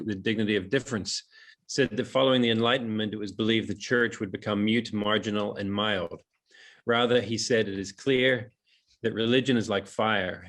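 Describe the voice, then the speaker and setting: Man with a soft New Zealand accent reading his talk. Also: on the low side. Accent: New Zealand, softened toward transatlantic. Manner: hesitant and chatty at the start, then reads measured prepared text. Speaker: webinar speaker. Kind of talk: reflective prepared talk on a webinar. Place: room in his house overlooking a lough. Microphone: video call from home.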